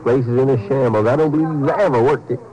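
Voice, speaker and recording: An actor playing a suspicious small-town western sheriff with an American accent, folksy and direct, in 1950s radio drama style. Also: male voice, mid-range voice, quick pace, dark tone, lo-fi sound, lightly acted. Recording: old 1950s radio broadcast.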